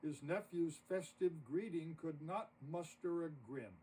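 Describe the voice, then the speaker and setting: A man narrating a rhymed story poem expressively, with dramatic, deliberate phrasing. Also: fairly high pitch, lively intonation, mellow. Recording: distant mic with audible noise.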